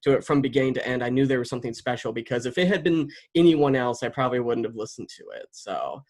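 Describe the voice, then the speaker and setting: A man's voice: medium pitch, lively intonation, fast, darkish tone. A man interviewing a guest in a casual, informal way, often chuckling as he talks. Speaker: interviewer, content creator.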